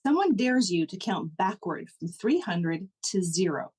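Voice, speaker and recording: A woman with a slightly husky voice co-hosting a laid-back podcast. She talks in a relaxed, dry manner, and reads prompt cards aloud in a plain, even delivery. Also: on the low side, a little slow. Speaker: podcast co-host. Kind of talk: casual chat, reading prompt cards aloud.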